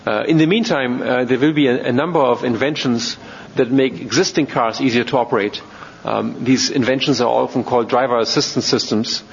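A man from Germany with a German accent gives an informal technical talk as a robotics researcher, speaking haltingly with many ums and repeated words. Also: mid-range voice, vocal fry. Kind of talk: informal technical lecture to an audience. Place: large reverberant hall, speaking to an audience. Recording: muffled, noisy, reverberant hall.

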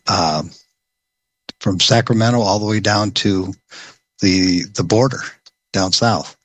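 A man presenting a program at a meeting, speaking in a halting way with many pauses and restarts. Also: fairly low pitch, a bit bright, dry recording. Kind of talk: informal briefing.

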